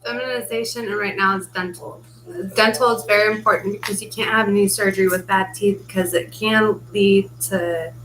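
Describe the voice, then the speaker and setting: A woman's voice: medium pitch, steady tone, moderate pace, few pauses, a bit bright, a little hiss or hum, rough recording. A woman, the host's wife, giving brief matter-of-fact answers in casual chat. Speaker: spouse of the host.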